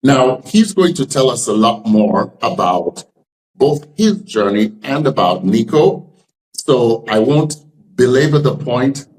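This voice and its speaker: Male podcast host, middle-aged. Medium pitch, formal and emphatic, clearly structured presenting. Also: animated, slow pace, a little mellow, poor audio. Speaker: podcast host, leadership scholar. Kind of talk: scripted podcast introduction and interviewing.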